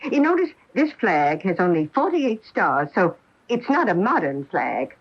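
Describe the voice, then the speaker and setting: An elderly schoolmarm in an old radio play, brisk and expressive. Her lowish voice swings with lively inflection as she reminisces with complete assurance, on a dull broadcast recording. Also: breathy edge, heavily acted. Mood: nostalgic, brisk. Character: elderly former schoolteacher, brisk, nostalgic and sure of memory. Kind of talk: 1980s radio mystery drama acting.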